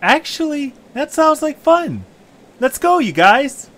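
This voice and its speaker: Guy doing an upbeat young leader creature, pitched up, super lively, curious, audio drama. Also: takes it slowly, full character voice. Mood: eager. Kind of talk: amateur audio drama acting.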